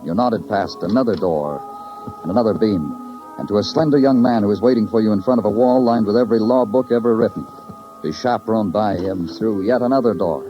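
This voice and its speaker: A man playing a wry American police detective, narrating and questioning in clipped, deadpan 1950s radio noir style. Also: lowish voice, a little fast, close to an everyday voice. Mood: wry, brooding. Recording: muffled old radio recording, music behind.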